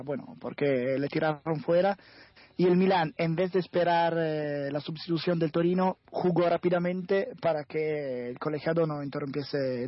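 A man with a fairly high voice, an Italian native speaker, breaks down a football match for a radio program. He talks haltingly, filling gaps with "eh" and "bueno" as he hunts for words. The sound is dry and muffled, like lo-fi radio. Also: dry recording. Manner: hesitant, searching for words, many fillers. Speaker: guest football commentator. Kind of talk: radio football analysis chat.

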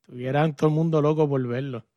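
A man's voice: a little high, fairly even intonation, quick pace, warm tone, dry recording, muffled recording. A man hosting an interview, chatty and enthusiastic, clipping his words. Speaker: interviewer, host. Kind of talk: casual interview.

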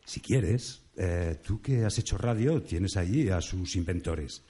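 An actor playing a weary, grumbling Saint Peter as gatekeeper of heaven in a comic radio play. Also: male voice, deep voice, animated, slow pace, warm tone. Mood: welcoming.

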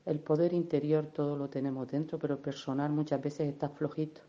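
A woman leading a guided meditation in a gentle, unhurried, soothing manner, with affectionate little diminutives. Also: deep voice, little pitch movement, muffled recording. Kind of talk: guided meditation, soft instruction.

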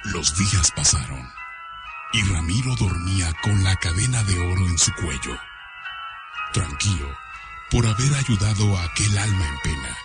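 A man with a Mexican accent narrating a horror radio drama in a solemn, dramatic, deliberate way. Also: deep voice, animated. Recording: loud music under the voice.

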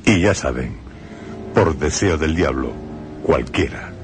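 A man with an extremely deep voice narrates a radio story in a measured, solemn manner. Music plays clearly beneath his words. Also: animated.

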